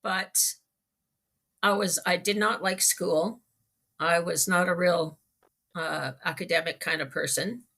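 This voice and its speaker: A middle-aged Canadian woman with a low, gravelly edge talks as an interview guest. She tells her story casually and very slowly, pausing often to find her words. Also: fairly even intonation, a bit bright. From small-town Manitoba, Canada.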